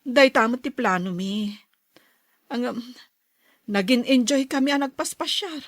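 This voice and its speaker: A woman's voice: a little high, animated, measured pace, fairly bright tone, dry recording, clean studio sound. An actress playing a neighbor woman who has spent all her money and is now asking for help, speaking in a worried, pleading way. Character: neighbor woman fallen on hard times after spending. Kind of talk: audio drama acting.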